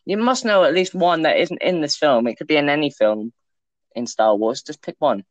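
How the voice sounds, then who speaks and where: A man's voice: a little high, normal speed, dry recording, dull audio. A young man hosting a casual podcast, teasing his co-host and laughing often. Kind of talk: casual chat.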